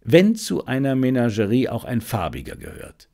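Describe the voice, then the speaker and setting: A man's voice: medium pitch, wildly swooping pitch, normal speed, creaky, dark tone, exaggerated voice. A man voicing a proud, garrulous menagerie owner in an audiobook, chatty, with little hesitant interjections. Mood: casual, grumbling.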